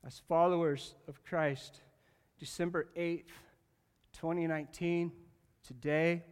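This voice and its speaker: A high-voiced man delivers a lively sermon in a large church hall, and long reverb trails each phrase. He swings between loud, fervent bursts and quiet, joking asides aimed straight at the congregation. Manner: jokey, talks directly to the congregation. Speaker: pastor.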